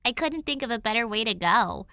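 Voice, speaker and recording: A woman's voice: fairly high pitch, expressive, fairly quick, creaky, sharp tone, smooth, no room sound, clean studio sound, full character voice. Woman playing a feisty, sarcastic runaway girl. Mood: cheeky. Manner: mock-dramatic, blunt. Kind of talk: fan fiction audio drama.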